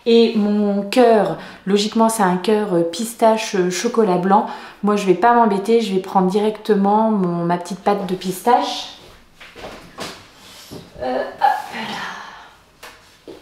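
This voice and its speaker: A woman's voice: mid-range voice, takes it slowly, pauses often, darkish tone. Middle-aged woman, casual vlogger, self-deprecating, filler-heavy, echoey room. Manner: chatty, self-mocking, many fillers and restarts. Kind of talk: casual vlog chat. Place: home kitchen and dining room.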